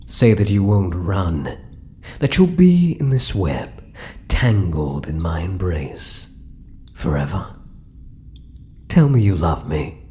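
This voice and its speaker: A British man playing a possessive, sinister yet doting male spider-human monster in an intimate ASMR roleplay, crooning with soft menace and dropping into whispers. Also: low-pitched, very animated, slow pace, long pauses, heavily acted. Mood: coaxing, possessive.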